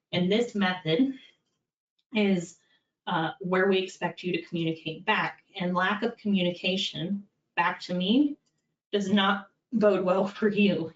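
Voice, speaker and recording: A middle-aged woman in a compliance role giving a candid, practical talk, with many fillers. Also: on the low side. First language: English. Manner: conversational, practical, with frequent fillers. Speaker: compliance executive presenting. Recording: far-off echoey dull-sounding room.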